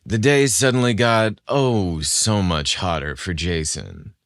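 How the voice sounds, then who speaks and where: Male narrator for romance audio fiction, notably low register, dry sound. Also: slow, no room sound. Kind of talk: scripted romance story narration.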